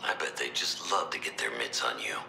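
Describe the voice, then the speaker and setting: Tough military officer, extremely low gravelly growl, hard-edged, scornful, menacing, game dialogue, roomy with slight noise. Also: male voice, a little fast, sharp tone, heavily acted. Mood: angry. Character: gruff, tough, hostile male military officer. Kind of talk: dramatic video game voice acting.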